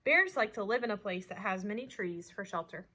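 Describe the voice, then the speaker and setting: A woman's voice: mid-range voice, a little fast, slightly rough. A woman teaching young children, reading a picture book expressively and talking to them with questions. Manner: asks listeners questions, animated storytelling delivery.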